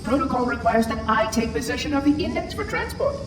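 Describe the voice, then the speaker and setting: A man's voice: very high-pitched, expressive, brisk, reverberant, far from the mic, music in the background. A man voicing a chirpy, officious robot monitor in a video game, formal and precise, with robotic processing. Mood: officious, urgent. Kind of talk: video game cutscene acting.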